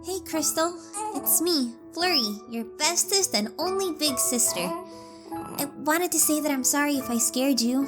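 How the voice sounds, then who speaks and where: A woman's voice: sits high, normal speed, over music, natural voice. A woman voicing an eager, earnest little pony princess, a childlike big sister, in a cartoon-style audio drama. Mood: tender, earnest. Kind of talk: animated fan audio drama.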